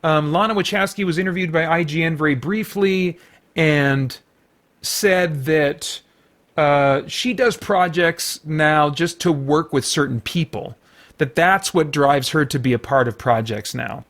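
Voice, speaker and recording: A man's voice: a little high, unhurried, fairly bright tone. A middle-aged man giving a casual, unscripted review as host, in a hesitant, rambling way with frequent backtracking.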